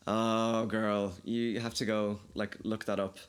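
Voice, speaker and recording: A man's voice: on the low side, flat delivery, takes it slowly, clear, resonant tone. A man with an Irish Kildare accent hosting a casual, chatty conversation, laughing often and swearing freely. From Ireland. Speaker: podcast host. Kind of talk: casual chat.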